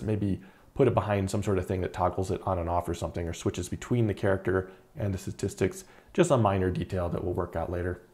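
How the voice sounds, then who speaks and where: A man in his thirties, an indie game developer, talking casually through a screen-recorded devlog with a relaxed, rambling manner and many fillers. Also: on the low side. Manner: relaxed, chatty, frequent uh fillers and repeated words. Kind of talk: casual video devlog narration.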